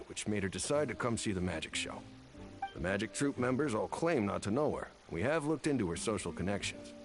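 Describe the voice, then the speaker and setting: A man voicing a brisk, formal investigator in a game, speaking in a matter-of-fact way. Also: fairly low pitch. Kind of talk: game voice acting. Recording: game audio with music behind.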